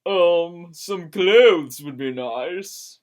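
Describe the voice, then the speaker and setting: Woman acting a young woman, blunt, jealous, loud, animated, storybook-style dramatic reading. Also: lowish voice, drawn-out words. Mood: demanding. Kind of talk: amateur dramatic reading of fanfiction.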